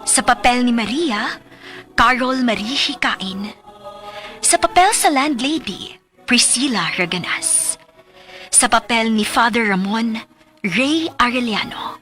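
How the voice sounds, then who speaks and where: A woman's voice: fairly high pitch, lively intonation. A woman narrating the close of a radio drama solemnly and reading its credits. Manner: slow, solemn, reflective. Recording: radio studio with soft music.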